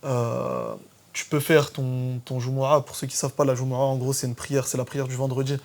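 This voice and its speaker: Relaxed man hosting a podcast. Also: mid-range voice, normal speed, creaky. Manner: relaxed, explains terms for listeners. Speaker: podcast host. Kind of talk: casual podcast conversation. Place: podcast studio.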